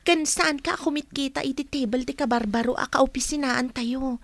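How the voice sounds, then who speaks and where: An actress playing a shy, hardworking young woman office worker in an Ilocano radio drama, speaking softly and modestly. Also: high voice, moderate pace, dry recording. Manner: soft-spoken, modest.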